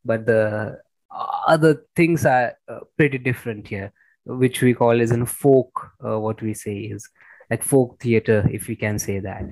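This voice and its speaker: Man, Indian accent, medium-pitched voice, dry sound, informal interview host, repeats phrases. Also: unhurried, darkish tone, dry recording, poor audio.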